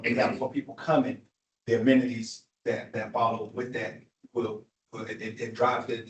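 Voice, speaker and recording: Rambling man in an echoey room. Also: medium pitch. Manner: rambling, self-correcting. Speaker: transit agency presenter. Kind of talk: meeting presentation.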